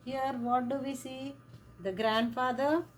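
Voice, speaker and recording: A woman teaching a lesson on a play, talking slowly and repeating words and phrases as she writes. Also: high voice, steady tone, slight background noise. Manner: slow, repeats words and phrases while writing. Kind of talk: classroom lesson explaining a play.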